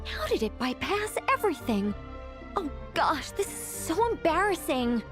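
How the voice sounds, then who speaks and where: A woman playing a gentle female angel in an anime game, polite and formal but easily embarrassed. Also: very high-pitched, big pitch swings, unhurried, over music, full character voice. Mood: flustered, mortified.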